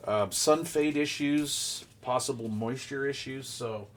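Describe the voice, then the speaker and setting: A man's voice: medium pitch, slow, a bit bright, slight background noise. A man giving casual unboxing commentary as a product reviewer, in a rambling, folksy, conversational way.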